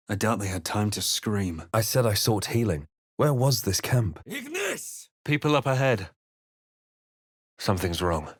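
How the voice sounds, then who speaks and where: Brooding fantasy adventurer, lowish voice, measured dramatic delivery, video game lines, close dry recording. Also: male voice, no echo. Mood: wary, observant, then incanting. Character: brooding male fantasy adventurer hero, grave and watchful. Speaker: video game player character voice actor. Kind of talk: scripted game narration and character lines.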